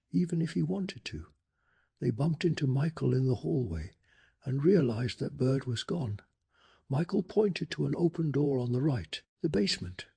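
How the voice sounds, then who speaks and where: Male ASMR narrator for bedtime stories: heavy vocal fry, very breathy, soft and unhurried. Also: fairly high pitch. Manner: calm, hushed, measured storytelling. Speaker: narrator of bedtime story recap. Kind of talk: soft-spoken ASMR scripted story narration.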